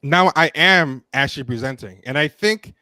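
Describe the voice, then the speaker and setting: A man in his thirties co-hosting a casual livestream chat from home, joking at his own expense, laughing often and pausing on fillers. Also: on the high side, sharp tone, no room sound. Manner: self-deprecating, laughs often, trails off with fillers. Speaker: podcast co-host. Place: home room with plants and posters. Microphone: studio mic with headset, on a video call.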